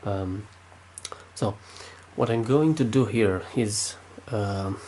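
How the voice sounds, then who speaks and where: A man's voice: fairly low pitch, slow pace, mellow, a little hiss or hum. A man with a Romanian accent, speaking unscripted with many fillers and restarts while demonstrating something at his desk. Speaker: tech video maker.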